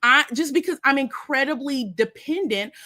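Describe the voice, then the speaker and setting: A woman hosting a live stream show, talking casually to her viewers in a chatty, animated way. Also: high-pitched, moderate pace. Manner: chatty and animated, addresses viewers as y'all and honey.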